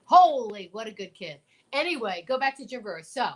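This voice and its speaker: A woman's voice: a little high, very animated, fairly quick. A woman co-hosting a casual talk show, chatting in a gushing, emphatic way and often repeating herself. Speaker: co-host of a comedy talk show. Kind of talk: casual chat.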